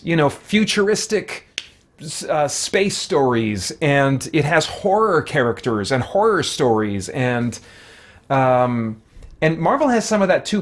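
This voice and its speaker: A man giving casual, rambling gaming commentary, thinking aloud in a thoughtful, halting way. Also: on the high side. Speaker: host of a roleplay game playthrough.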